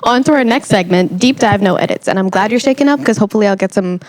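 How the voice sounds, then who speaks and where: A woman's voice: medium pitch, quick pace, darkish tone, no room sound. A woman hosting a podcast in casual, playful chat, with mock-indignant banter. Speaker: podcast host.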